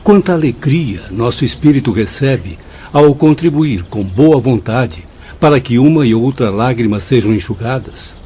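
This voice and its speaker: An actor with a Brazilian accent playing a devout, encouraging spirit companion, earnest and consoling, in a radio drama. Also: male voice, medium pitch, mellow, close to an everyday voice. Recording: muffled lo-fi track, ambient noise.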